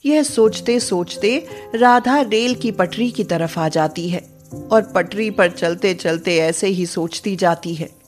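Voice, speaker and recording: A woman's voice: medium pitch, takes it slowly, music in the background. A woman narrating a scripted moral story for children as the storyteller.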